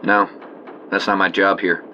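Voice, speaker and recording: An actor playing a cool, measured government investigator in an audio drama. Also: male voice, deep voice, flat delivery, dull audio, slight background noise. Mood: detached. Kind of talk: audio drama acting, formal report.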